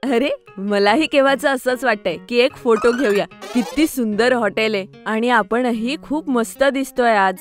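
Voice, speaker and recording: A woman playing a warm, reassuring mother in a children's cartoon, speaking in an encouraging way. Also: a little high, moderate pace, lightly acted. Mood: warm, approving. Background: background music.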